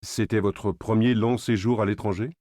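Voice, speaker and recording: A polite, formal interviewer, played by a man puts scripted questions to a candidate. His voice is fairly low and warm-toned. The sound is dry and close. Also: steady tone, moderate pace, no echo, natural voice. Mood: polite, neutral.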